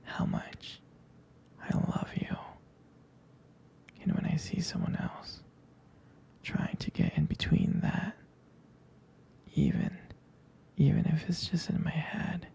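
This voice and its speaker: A man playing a jealous, protective and devoted boyfriend in an intimate ASMR roleplay, whispering slowly and hesitantly with a breathy, gravelly voice. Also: fairly high pitch, dark tone, exaggerated voice. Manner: slow, hesitant, tender.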